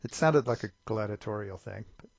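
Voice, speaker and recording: A man's voice: on the low side, brisk, gravelly, no room sound, highs cut off. A man hosting a podcast, talking casually in an easygoing, joking way. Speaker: podcast host. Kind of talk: casual chat.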